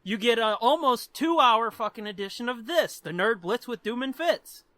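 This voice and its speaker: A man's voice: very high voice, expressive, moderate pace, sharp tone, no echo. A man co-hosting a podcast in casual, profane, rambling chat. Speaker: podcast co-host.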